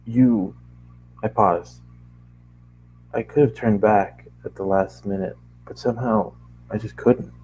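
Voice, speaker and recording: A man narrating a first-person story as an amateur reader, halting now and then to correct his phrasing. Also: on the low side, steady tone, darkish tone, a little hiss or hum, rough recording.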